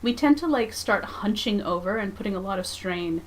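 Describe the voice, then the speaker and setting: A woman's voice: mid-range voice, expressive, moderate pace, a little hiss or hum, low-quality recording. A woman in her thirties chatting casually on a livestream from her home drawing desk, rambling and self-deprecating. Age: 30s. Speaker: comic artist streamer.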